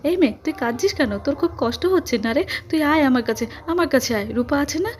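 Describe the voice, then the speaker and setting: A woman's voice: high-pitched, expressive, fast talker, a little mellow, voice pushed up. A woman voicing a motherly, protective figure in a family melodrama, coaxing and tender. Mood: soothing concern. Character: motherly, protective woman comforting a crying girl. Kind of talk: melodramatic family story read aloud.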